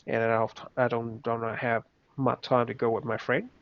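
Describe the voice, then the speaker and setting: A young man from Vietnam with a Vietnamese accent, speaking English as a second language in a casual interview, halting, with many restarts. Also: mid-range voice, level tone, no room sound. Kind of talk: casual reflective chat. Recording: slightly dull, dry recording.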